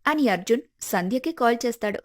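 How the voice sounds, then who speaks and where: A woman's voice: a little high, lively intonation, fairly quick. A woman reading scripted narration for a story.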